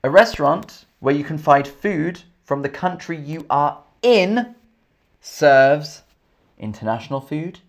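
A man from England with an English accent, teaching a live online English lesson, slowly repeating each question and thanking viewers by name. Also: fairly high pitch, lively intonation. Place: indoors, in Scotland.

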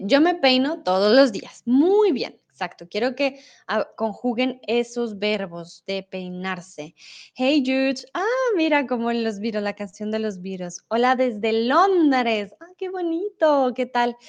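A young Colombian woman from Bogotá with a Bogotá accent, teaching a live-streamed Spanish lesson, explaining patiently and reading viewer comments aloud. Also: on the high side.

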